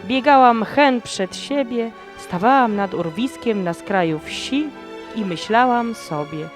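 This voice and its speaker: A woman narrating a first-person horror story in a slow, dramatic storytelling manner. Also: fairly high pitch, expressive. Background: background music.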